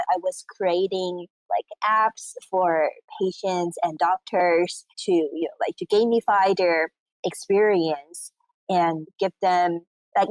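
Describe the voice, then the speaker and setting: A woman's voice: lowish voice, dry recording, poor audio. A woman in her thirties from Taiwan, answering interview questions over a call in a casual way, with many "you know, like" fillers. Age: 30s. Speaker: guest entrepreneur. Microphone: remote call recording.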